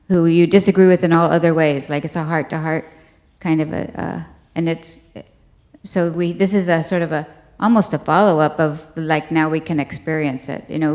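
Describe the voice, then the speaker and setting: A woman's voice: fairly low pitch, a little mellow. A woman leading a church gathering, talking in a loose, conversational way and inviting others to share. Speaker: service leader. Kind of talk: leading a group discussion. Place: church service.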